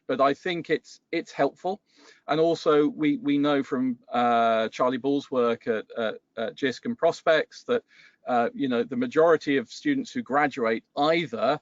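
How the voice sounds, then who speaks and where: A man's voice: medium pitch, no room sound, muffled recording. A man answering thoughtfully on a webinar panel, hesitating and restarting his sentences.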